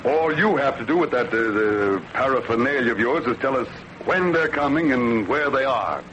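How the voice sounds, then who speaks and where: Man playing a gruff navy admiral, noisy old radio. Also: medium pitch, lively intonation, normal speed, a bit bright, highs cut off, lightly acted. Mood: skeptical. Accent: American. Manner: formal, commanding. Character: gruff, skeptical older male navy admiral. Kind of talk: old-time radio drama acting.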